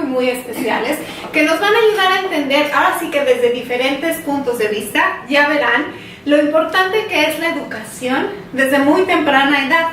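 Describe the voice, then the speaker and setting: Bubbly high-voiced woman radio host. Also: a bit bright, a little hiss or hum. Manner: playful, chatty, enthusiastic. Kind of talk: lively radio talk show hosting. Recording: roomy studio heard from a distance.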